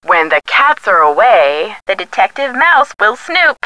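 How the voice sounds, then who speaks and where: A woman's voice: medium pitch, sing-song, a little slow, bright, forceful tone, dry recording. An actress playing a detective's chatty, witty young female friend in a video game, with a playful, teasing manner. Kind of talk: scripted video game dialogue.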